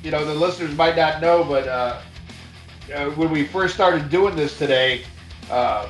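Host, male, slightly high voice, echoey room, faint music under, loose and profane. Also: moderate pace. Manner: laughing, swearing, upbeat. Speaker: podcast host. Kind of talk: casual podcast chat.

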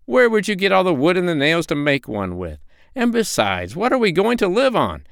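Man doing a bossy lady duck from a kids storybook, high voice, nagging, swoops around. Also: huge pitch swings, normal speed, gravelly, a bit bright, no room sound, clean studio sound, heavily acted. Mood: scolding. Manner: nagging, exclamatory. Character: bossy, practical, scolding female duck.

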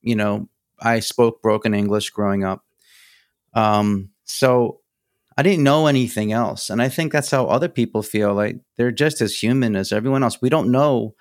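Gravelly, low-pitched New man. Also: normal speed, dry recording, studio quality. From New York. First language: Greek. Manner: rambling, many fillers and restarts. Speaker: guest. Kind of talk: casual conversation.

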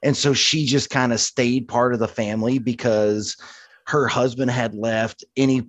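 A man with a medium-pitched voice talks in an agreeable, conversational way. He sounds like a podcast co-host in a casual review chat, recorded dry without room echo. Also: fairly even intonation, moderate pace.

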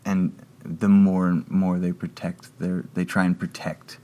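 A man in casual conversation as an interview guest, talking haltingly with many restarts and fillers. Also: sits low, little pitch movement, mellow.

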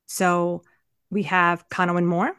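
A woman's voice: fairly low pitch, level tone, slow, fairly bright tone, clear, resonant tone, no echo, studio quality. A woman co-hosting a podcast, chatting casually with playful jokes. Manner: joking, playful. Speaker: podcast co-host.

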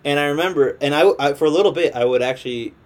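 A man's voice: fairly high pitch, quick pace. A man whose first language is Latvian, co-hosting a podcast in casual chat, rambling and correcting himself, often laughing. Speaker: podcast co-host.

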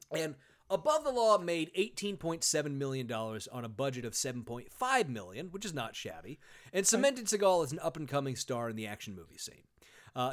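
A man hosting a casual comedy podcast, talking sarcastically, swearing freely and using lots of fillers. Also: mid-range voice. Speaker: podcast host.

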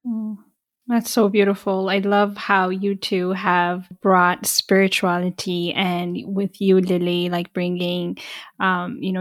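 Host: woman, lowish voice, affirming and gushing, dry close recording. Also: dry recording. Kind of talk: interview, casual chat.

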